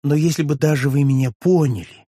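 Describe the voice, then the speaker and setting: A suave, sweet-talking gentleman, as a male reader plays him, pleading with gentle irony. The voice is husky and sits in a middle register, dry and close to the microphone. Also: mid-range voice, animated, normal speed, no echo, natural voice. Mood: urgent. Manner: pleading, smooth, gently ironic. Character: suave gentleman friend, tender and ironic. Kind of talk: audiobook reading of a classic novel.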